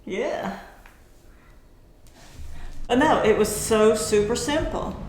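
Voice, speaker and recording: A woman giving a casual product demo at home, explaining things in an informal, folksy and halting way. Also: a little high, lively intonation, a little mellow. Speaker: product reviewer and vlogger. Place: indoors at home. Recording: roomy echo, faint background noise.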